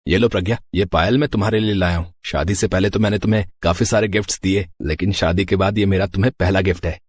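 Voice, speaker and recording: A young husband played by a man in a storybook family drama, affectionate in temper. His voice is low and recorded close and dry. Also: fairly even intonation, fast, husky, no echo.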